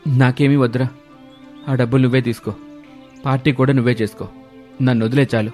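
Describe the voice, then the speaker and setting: A man plays a drunken husband from a moral storybook tale. He talks bluntly and colloquially, always on the defensive, in a mid-pitched voice. Music runs underneath. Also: fairly even intonation, a little fast, darkish tone, lightly acted. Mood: weary refusal. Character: gruff drunken husband, defensive, later remorseful. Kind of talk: Telugu moral story dialogue.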